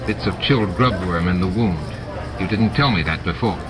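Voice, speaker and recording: A man's voice: low-pitched, moderate pace, lightly acted. A man voicing a terse, stern male alien security chief, curt and businesslike. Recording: noisy audiobook with added sound effects.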